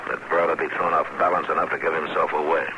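An American actor playing a stern, calm frontier marshal in a 1950s radio drama, terse, measured and dry. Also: male voice, very deep voice, lo-fi sound. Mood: weary, matter-of-fact. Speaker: lead actor. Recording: noisy 1950s radio recording.

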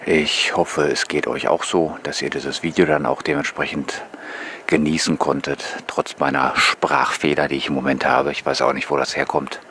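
A man giving relaxed, musing, casual commentary to viewers as a vlogger on a bike tour. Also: extremely low pitch, moderate pace. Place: outdoors on rural paths and waterside. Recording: noisy outdoor recording with passing vehicles.

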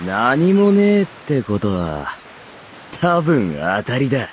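A man's voice: mid-range voice, huge pitch swings, slow, a little hiss or hum. Brash, swooping young male outlaw. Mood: sardonic. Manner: rough, sardonic, swaggering. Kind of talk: Japanese anime game voice acting.

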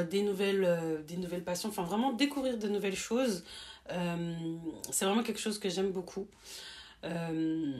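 A young woman in her late twenties sits in a small room and chats straight to her camera. She talks in a relaxed, rambling way, often pausing on fillers and restarting her sentences. Her voice sits at an ordinary middle pitch. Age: 20s. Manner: chatty, hesitant with many fillers and restarts. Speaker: YouTuber. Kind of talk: casual face-cam chat. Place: small room with bookshelf, seated.

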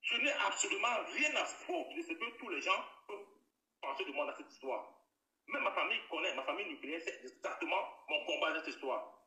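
A man's voice: sits high, lively intonation, a little fast, sharp tone. A man, talking emphatically on a video call and repeating himself. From Bamenda, Cameroon. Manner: emphatic, repeats himself, hedges with air quotes. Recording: roomy echo, distant from the mic.

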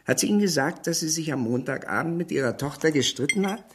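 An actor playing a nosy, sly older village gossip in a radio crime drama, with a husky voice and insinuating manner. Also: male voice, a little high, expressive, noisy background, natural voice. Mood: gossipy, indignant. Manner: conspiratorial, insinuating, drawn-out hints.

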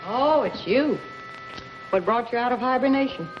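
A woman's voice: a little high, expressive, moderate pace, lightly acted. A dull vintage film track with music behind it carries an actress playing a brassy gangster's moll. She is sardonic and blunt, needling in hard-boiled 1950s crime drama style. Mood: sardonic, coaxing.

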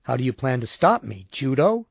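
A man's voice: mid-range voice, sing-song, moderate pace, gravelly, slightly rough, no echo, muffled recording, exaggerated voice. A man voicing a stubborn, impatient male customer with a curt, sardonic manner in an audiobook.

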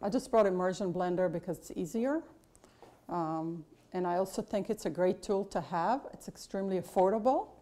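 Mellow-voiced woman teaching a cooking class. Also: on the low side, moderate pace. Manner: chatty, explanatory, many fillers. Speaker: chef and cooking instructor. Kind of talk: casual cooking demonstration. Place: large kitchen classroom indoors.

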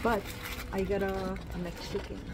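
A young woman chatting casually and excitedly to her phone camera while shopping and then eating out. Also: mid-range voice, a little slow. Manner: chatty, excited, exclaims over cute things. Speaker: vlogger. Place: busy store and fast-food restaurant. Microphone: handheld phone camera. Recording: noisy public place ambience.

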